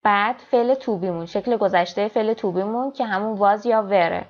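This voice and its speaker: Female grammar teacher, brisk explanations, slow careful example reading, slightly muffled lo-fi audio. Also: medium pitch. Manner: explains quickly in Persian, then reads English examples slowly and clearly. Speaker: English teacher. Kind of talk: grammar lesson.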